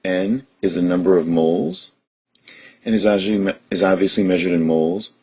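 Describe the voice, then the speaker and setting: A man teaching a chemistry lesson and working through gas law problems in a steady, methodical way. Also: low voice, a little fast, husky. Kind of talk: recorded lesson explanation.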